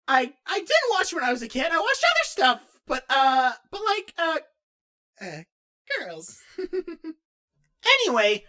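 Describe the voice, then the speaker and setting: Woman voicing an excitable, awkward, high-pitched cartoon lad. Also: full character voice. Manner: animated, rambling, hesitant, self-interrupting. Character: excitable, awkward, geeky young male character answering fan questions. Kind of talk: in-character scripted fan Q&A.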